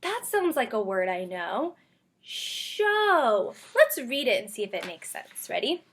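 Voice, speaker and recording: A woman with a fairly high voice speaks as an elementary teacher leading a lesson for young children. She is instructive, repeating key points and asking guiding questions, and she stretches words out for her listeners. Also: big pitch swings, fairly bright tone. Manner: stretches out and sounds out words slowly. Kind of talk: read-aloud phonics lesson for young children.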